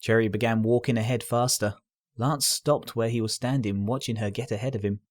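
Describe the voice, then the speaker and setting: A man reading a scripted story aloud as narrator, in an expressive, earnest and dramatic storytelling style. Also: mid-range voice, a little fast, dry recording, clean studio sound.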